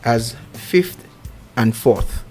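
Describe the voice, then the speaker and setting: A man's voice: mid-range voice, lively intonation, extremely slow, a little mellow, faint music behind. A male radio host interviewing two contest finalists, encouraging them and laughing along.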